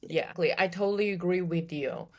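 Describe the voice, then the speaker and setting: Low-voiced young woman from China. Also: moderate pace, a little mellow. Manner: thoughtful, repeats words as she restarts. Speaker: guest. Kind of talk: casual podcast conversation.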